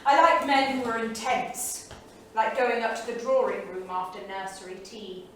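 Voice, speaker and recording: An actress playing a restless, flirtatious 1930s bohemian wife in a theatrical staged reading, gushing and affected, with a brittle edge. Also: female voice, a little high, fairly even intonation, normal speed, close to an everyday voice. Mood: wistful, confiding. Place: small theatre studio, indoors. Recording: echoey theatre studio from far off, faint noise.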